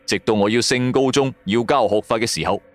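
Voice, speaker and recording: A man's voice: mid-range voice, a little fast. A man with a Hong Kong Cantonese accent narrating a first-person story for a radio drama in a colloquial storytelling manner. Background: music.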